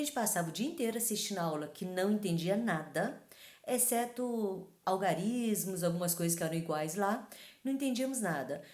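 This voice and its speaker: Host, woman from Taiwan, middle pitch, chatty tag questions, reverberant room. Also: a little mellow. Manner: conversational, frequent tag questions. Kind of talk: talking-head advice talk.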